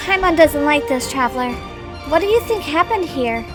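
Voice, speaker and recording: A woman voicing a small, childlike fairy companion with a high squeaky voice and an inquisitive, worried manner, as in a video game story. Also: animated, moderate pace, music in the background. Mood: uneasy. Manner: refers to herself in third person, questioning.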